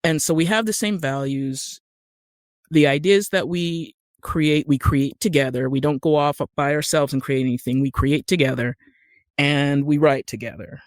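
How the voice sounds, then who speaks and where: A woman's voice: sits low, normal speed, dry recording. Podcast guest: American woman, conversational, explains step by step, very dry close recording. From Utah, United States. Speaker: podcast guest, screenwriter and actor. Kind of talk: conversational interview.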